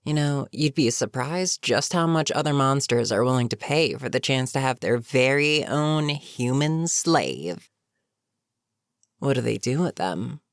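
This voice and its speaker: A voice actor playing a bitter, menacing goblin captain in a fantasy audio roleplay, speaking to a captive with sardonic, unhurried menace. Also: mid-range voice, no room sound, studio quality. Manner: sardonic, unhurried, matter-of-fact threats. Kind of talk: scripted fantasy audio roleplay, addressing the listener.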